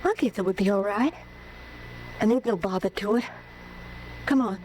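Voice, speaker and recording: A woman's voice: mid-range voice, moderate pace, a little mellow, natural voice. An actress playing a shy, wary young ranch girl in a vintage radio drama, speaking hesitantly. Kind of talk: 1950s radio drama acting. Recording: hissy old radio broadcast.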